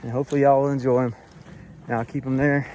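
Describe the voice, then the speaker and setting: A man from Florida, vlogging casually while hiking, out of breath and a little hoarse, recorded on a handheld camera outdoors. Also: medium pitch, level tone, quick pace, dark tone, slight background noise, poor audio. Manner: breathing hard, winded while hiking uphill. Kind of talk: casual vlog narration to camera. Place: outdoors on a forest hiking trail.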